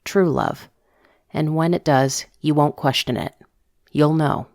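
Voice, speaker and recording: A woman's voice: low voice, slow, darkish tone, dry recording, studio quality. A woman voicing an earnest, tender middle-aged father in an audio drama, reflective and fatherly in manner. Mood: tender, musing.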